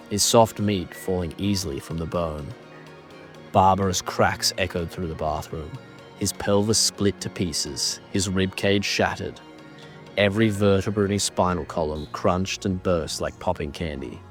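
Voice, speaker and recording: A man narrating a horror audio drama in a grave, deliberate, dramatic way, reading ornate scripted prose. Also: sits low.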